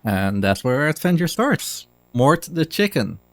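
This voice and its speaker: A man's voice: mid-range voice, very animated, unhurried, a bit bright, dry recording. A man giving casual live commentary as he presents his own video game speedrun.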